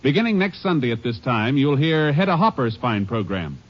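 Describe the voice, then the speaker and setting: A man's voice: mid-range voice, very animated, normal speed. A man announcing in formal, polished, scripted mid-century network radio style. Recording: muffled old broadcast, loud music.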